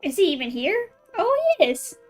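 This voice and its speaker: A woman's voice: sits high, sing-song, moderate pace, faint music behind. A woman livestreaming a game, chatting casually and reacting with playful exclamations. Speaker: game streamer.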